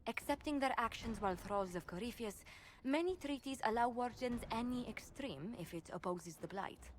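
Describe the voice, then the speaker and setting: An actress playing a formal advisor in a video game, presenting cases in a measured, dry way. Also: female voice, a little high, expressive, slight background noise, lightly acted.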